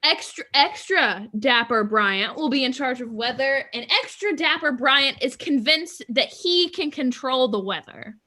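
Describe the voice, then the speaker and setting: A woman from an improv troupe explaining the next game to the audience. Her voice is high and crisp-bright. She sounds chatty and upbeat. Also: expressive, a little slow, hardly any pauses. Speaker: improv performer and game announcer.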